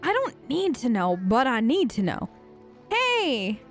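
High-pitched chatty woman gamer. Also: huge pitch swings, takes it slowly, soft background music. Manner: chatty, polite, rambling. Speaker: gaming video host. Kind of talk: improvised role-play chat over a video game.

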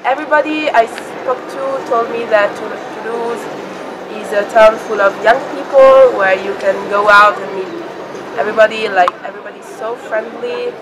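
A woman in her twenties from Italy, talking in a lively way about student life in an outdoor interview. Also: fairly high pitch, normal speed. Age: 20s. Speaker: exchange student. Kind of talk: casual interview answers. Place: outdoors by a river. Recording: noisy outdoors with people around.

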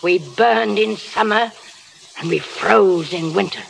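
A woman's voice: medium pitch, slow pace, vocal fry. An actress playing a feisty old pioneer grandmother, stern but loving, with an American accent, in 1930s radio style. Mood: stern, impassioned. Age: older. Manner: forceful, exhorting. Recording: hissy, crackly old radio recording.

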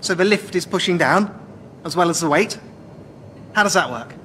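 An actor with an English accent playing an eager, naive, soft-hearted young cabin steward in a radio sitcom, chirpy and earnest. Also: male voice, high-pitched, lively intonation, normal speed, big-room sound, a little hiss or hum. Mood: eager, puzzled.

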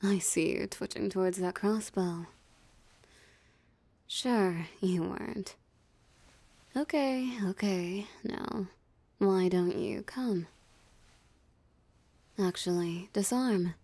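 A woman voicing a possessive, teasing female vampire in an ASMR roleplay, with a breathy, half-whispered, sultry and taunting delivery. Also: medium pitch, takes it slowly, pauses often, dark tone, no echo. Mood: taunting, amused. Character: possessive, teasing female vampire savoring her captured prey. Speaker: voice actor.

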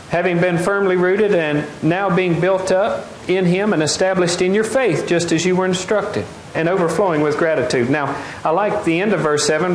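Middle-aged preacher in an echoey hall. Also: male voice, fairly high pitch. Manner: animated, gestures widely, asks the congregation questions. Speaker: pastor. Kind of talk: sermon. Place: large wooden open-air church hall. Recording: echoey hall, faint noise.

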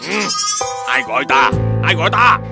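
A woman's voice: low voice, big pitch swings, normal speed, vocal fry, loud music behind the voice, exaggerated voice. A woman voicing a wise, cautious old grandfather planet Earth in a children's cartoon, explanatory, with a Southern Vietnamese accent. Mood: curious. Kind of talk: animated children's cartoon acting.

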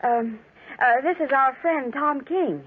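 A woman's voice: high voice, slow, a little hiss or hum. An actress playing a sweet, sociable young country woman with a gushing manner in a 1940s radio drama. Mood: polite. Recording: muffled 1940s radio recording.

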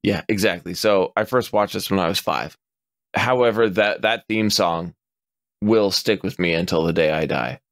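A man in his forties talks casually on a podcast, his voice sitting on the low side with a dry, close sound. He gets animated, curses freely and slips into quick impressions of customers' lines. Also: dry recording. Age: 40s. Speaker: podcast co-host.

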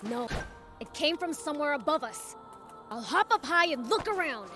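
Mischievous demon prince kid: cocky, animated, piercingly high cartoon game voice. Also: female voice, normal speed, music in the background. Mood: alert, eager. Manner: brash, boastful. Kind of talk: English video game cartoon acting.

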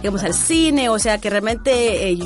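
A woman from Guatemala, a guest entrepreneur, answering interview questions about her life in a relaxed way with many fillers. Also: mid-range voice, normal speed, over music.

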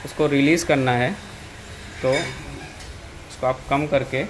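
A man's voice: medium pitch, fairly even intonation, takes it slowly. A man with an Indian accent explaining step by step how to operate a machine while he works it, recorded on a handheld phone in a workshop. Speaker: machine seller demonstrating equipment. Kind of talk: hands-on product demonstration. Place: indoor workshop floor. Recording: loud machine and handling noise.

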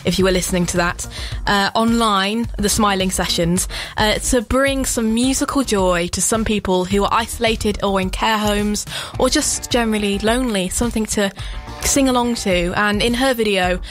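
A woman's voice: mid-range voice, normal speed. A woman radio presenter talking directly to listeners between songs in a chatty way. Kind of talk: scripted radio links. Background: faint music bed.

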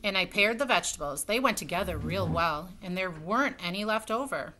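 A woman's voice: lowish voice, expressive, fairly quick, bright, forceful tone, poor audio. A woman voicing a cheerful, literal-minded, muddled storybook housemaid in a chirpy, matter-of-fact way. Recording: webcam sound with faint noise.

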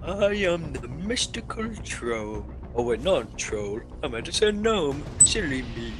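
A man's voice: mid-range voice, sing-song, measured pace. A man playing a sly, pleading mystical gnome trickster in a video game roleplay, theatrical and riddling. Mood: sly, playful.

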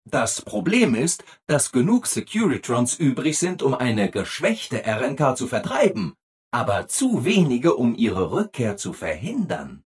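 A relentlessly upbeat video game robot assistant, eager to please at every turn. The delivery is chirpy and over-eager, with sing-song emphasis and big lively swings in pitch. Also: male voice, husky. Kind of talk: video game character dialogue.

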